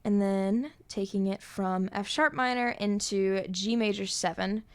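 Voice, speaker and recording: A young woman with a high voice, casually explaining her chord changes to a song as a songwriter, relaxed and explanatory, pausing with thinking fillers. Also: clear, resonant tone. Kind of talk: casual music theory walkthrough.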